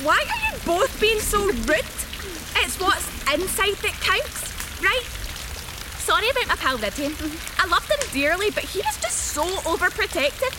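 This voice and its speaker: A woman's voice: very high voice, very animated, a little slow, noisy background, exaggerated voice. An actress playing a cruel, gleefully taunting young woman turned killer in a horror radio play. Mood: wounded mockery. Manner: mocking, menacing sing-song taunts.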